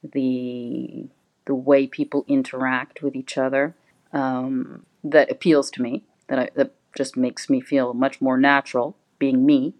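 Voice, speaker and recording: A woman's voice: rumbling low, little pitch movement, a little slow, darkish tone. A middle-aged woman from Canada, a guest in an interview, answering thoughtfully and hesitantly with many fillers. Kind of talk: conversational interview.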